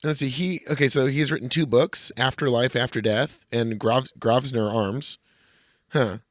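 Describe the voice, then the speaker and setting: A man's voice: medium pitch, steady tone, normal speed, dry recording, studio quality. A man co-hosting a podcast, bantering casually and reading aloud with a sardonic edge. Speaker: podcast co-host.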